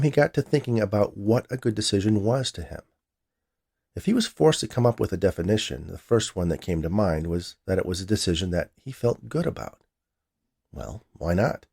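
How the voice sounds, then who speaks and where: Guy reading his own short story, really low voice, soft mellow tone. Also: gravelly, breathy edge. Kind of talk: scripted story narration.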